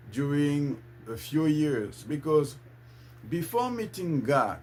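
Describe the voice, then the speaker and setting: A man's voice: fairly high pitch, poor audio. Earnest man preaching over guitar music. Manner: earnest, repetitive, preacher-like cadence. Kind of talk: faith-based motivational talk.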